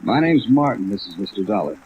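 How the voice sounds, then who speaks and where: A man's voice: on the low side, fairly quick. An actor playing a seasoned police lieutenant in a 1950s radio drama, matter-of-fact, methodical and dry in his plain-spoken talk. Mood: questioning. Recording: tinny phone-like old radio recording, faint hiss. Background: steady rain.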